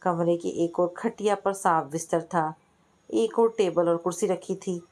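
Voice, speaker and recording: Woman narrating a story, lowish voice. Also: a little slow, darkish tone. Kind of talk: scripted story narration with acted dialogue.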